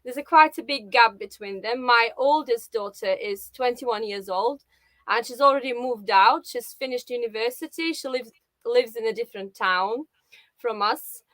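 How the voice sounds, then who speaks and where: Russian woman guest, 40s, bright higher voice, dry close sound, hesitant with fillers. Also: moderate pace, no room sound. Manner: hesitant, with many fillers and restarts. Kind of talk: casual conversation on a live video call. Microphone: video call.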